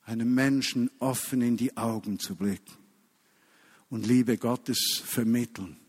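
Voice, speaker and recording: A man preaching a sermon to a congregation, emphatic, stretching key phrases and dropping to a hush. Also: mid-range voice, breathy edge. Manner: emphatic, with slow drawn-out key phrases and hushed drops. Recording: long hall reverb.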